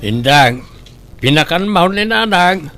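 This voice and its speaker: A man's voice: high voice, animated, slow pace, bright, forceful tone, faint music behind. A man with an Ilocano accent acting in a comedy drama, speaking in a scolding, reproachful way. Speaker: drama voice actor, husband.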